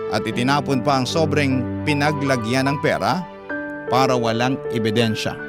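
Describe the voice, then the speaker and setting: A man hosting a radio drama, reading a listener's letter aloud as slow, emotional narration. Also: mid-range voice. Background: loud background music.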